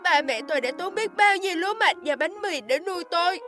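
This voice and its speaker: A woman voicing a tiny, polite and brave little boy in an animated fairy tale. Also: extremely high pitch, fairly quick, faint music behind, full character voice.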